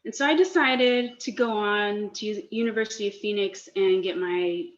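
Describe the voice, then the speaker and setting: Chatty forties chef, echoey kitchen, dull call audio. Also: female voice, medium pitch, moderate pace. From Northern California. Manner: friendly, chatty, a little nervous. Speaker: guest speaker, personal chef. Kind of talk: casual presentation on a video call. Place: home kitchen. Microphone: laptop or webcam mic on a video call.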